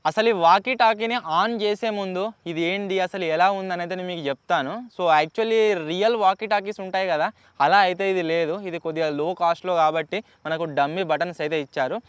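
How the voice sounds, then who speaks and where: Man, Telugu accent, high clear voice, fast pace, chatty vlog host, explanatory, English words mixed in. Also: steady tone, a bit bright, no echo. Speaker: YouTube host. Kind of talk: casual unboxing and testing vlog.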